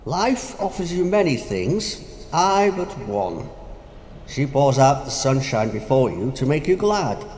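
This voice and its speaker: An actor playing Death personified as a sly, taunting figure with grandiose, mocking declamation in a stage-like audio drama. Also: male voice, mid-range voice, big pitch swings, takes it slowly, darkish tone. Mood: coaxing. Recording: echoey hall, faint noise.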